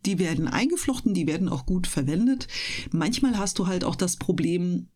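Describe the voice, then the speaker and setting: A woman's voice: fairly low pitch, lively intonation, normal speed, dark tone. A woman chatting casually and explaining things as a guest in a radio interview.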